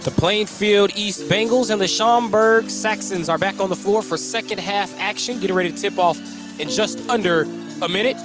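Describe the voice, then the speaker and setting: A man's voice: sits high, moderate pace, music in the background. A man calling a live basketball game on the radio as the play-by-play announcer. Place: at courtside or press position in a gym during a game.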